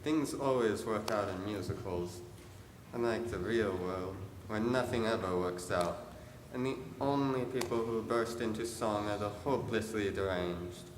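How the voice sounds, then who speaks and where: An actor playing a wistful, wry musical-theatre fan who confides in the audience as a conversational stage narrator. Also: male voice, fairly low pitch, unhurried, background noise, close to an everyday voice. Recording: echoey hall, faint music behind.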